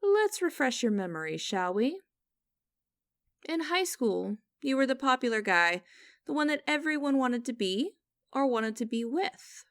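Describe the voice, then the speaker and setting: Woman acting a stern, high-voiced lady boss. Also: big pitch swings, dry recording, clean studio sound, exaggerated voice. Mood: bitter, controlled. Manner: condescending, formal, deliberate, teasing. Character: stern, mocking, vengeful young female company boss interviewing. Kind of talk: scripted ASMR-style audio roleplay.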